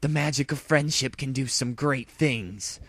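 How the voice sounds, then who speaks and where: A man's voice: mid-range voice, unhurried, fairly bright tone, no room sound, pitched above the performer's own voice. A man voicing a brash, cocky female pony secret agent with bombastic, melodramatic delivery, in a fan story reading. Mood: triumphant.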